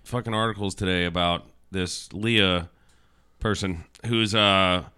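A man's voice: sits low, fairly even intonation, unhurried, pushed and bright, clean tone. An American man on a podcast panel, chatting casually with wry, profane remarks. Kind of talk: casual chat.

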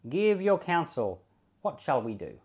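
A man's voice: a little high, sing-song, a little slow, a little mellow. Man reading as a pointed, questioning prince. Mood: challenging. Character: usurping prince questioning his advisers.

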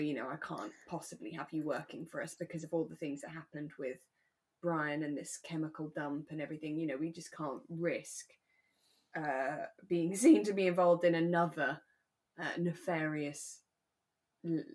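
A woman co-hosting a podcast, talking through a radio drama in a relaxed, conversational way. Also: low-pitched, moderate pace. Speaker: podcast co-host.

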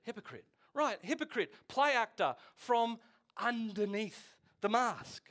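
Aussie pastor guy, 40s, kinda high voice, chatty jokey sermon, keeps checking in with the crowd. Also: animated, unhurried, fairly bright tone. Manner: chatty, jokey, asks the audience questions. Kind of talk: conversational sermon.

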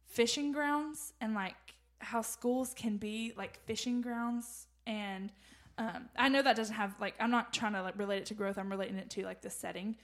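A young woman with a fairly high voice chats casually on a faith podcast. She rambles, circles back and fills gaps with "like" and "um" as she talks. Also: normal speed, hardly any pauses. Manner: rambling, many fillers and restarts. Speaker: podcast co-host.

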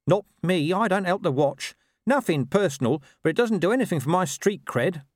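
A man's voice: high voice, expressive, normal speed, dry recording, clean studio sound, pitched above the performer's own voice. A man voicing a scruffy, streetwise talking dog in an audiobook, slangy, cynical, indignant and boastful. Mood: defensive. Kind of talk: audiobook character voice.

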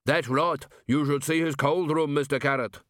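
A man doing grumbling dwarf diners, pitched up. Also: steady tone, normal speed, fairly bright tone, dry recording, clean studio sound, natural voice. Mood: accusing. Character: grumbling, accusing male dwarf customers. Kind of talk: audiobook character voice.

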